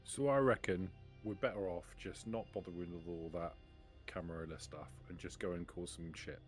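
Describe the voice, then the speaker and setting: A man's voice: low-pitched, steady tone, normal speed, faint music behind. A man playing a tabletop role-playing game, talking in character at the table in a blunt, dry way.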